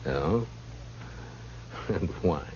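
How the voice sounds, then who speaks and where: Low-voiced, weary western marshal. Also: male voice, lively intonation, very slow, a little mellow, heavily acted. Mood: amused, relaxed. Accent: American. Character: weary, guarded frontier marshal with dry humor. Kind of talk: old-time radio western acting. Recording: muffled, hissy old radio recording.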